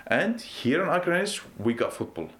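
A man's voice: a little high, very animated, normal speed, fairly bright tone. A man in his twenties, a native Arabic speaker, telling his story earnestly as an interview guest, often restarting and saying "exactly". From Iraq. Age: 20s. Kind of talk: casual conversational interview. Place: reception area of a pool.

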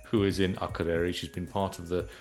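A man hosting a radio interview, speaking in a clear, measured way. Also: deep voice, flat delivery, a little mellow. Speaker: radio host. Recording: radio broadcast with music bed.

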